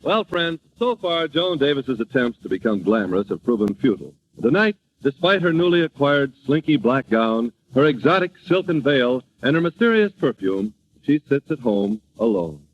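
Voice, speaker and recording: A man's voice: medium pitch, very animated, normal speed, soft background music, natural voice. A man as a polished, wry announcer narrating old-time radio comedy, on a muffled, noisy vintage recording.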